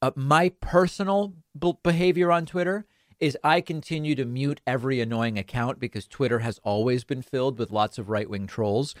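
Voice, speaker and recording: A man's voice: medium pitch, moderate pace, no echo. Man talking politics in a dry studio. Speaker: political commentary host. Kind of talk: political commentary monologue.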